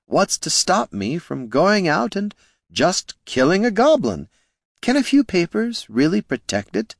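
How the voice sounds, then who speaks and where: A sly little male gnome schemer with a high, lilting, oily voice, all mock-polite courtesy and veiled threat, as a man puts him on for a storybook. His pitch leaps about lightly, and the recording is close and dry. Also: wildly swooping pitch, unhurried, vocal fry, no room sound, heavily acted. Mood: insinuating.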